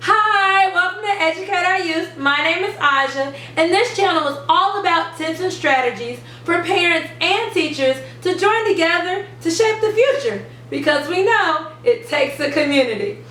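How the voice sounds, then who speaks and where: A woman hosting an instructional video for parents and teachers, speaking in an animated, emphatic, teacherly way. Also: very high-pitched, moderate pace, hardly any pauses, soft background music. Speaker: host of an education channel for parents and teachers. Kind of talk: upbeat instructional video to camera. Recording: roomy, distant mic, faint background noise.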